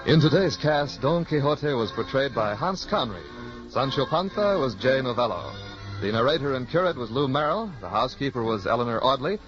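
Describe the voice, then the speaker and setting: A man's voice: medium pitch, expressive, fairly quick, music in the background. An American man announcing in formal, scripted 1950s network radio style, enunciating with care. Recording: dull old-time radio recording.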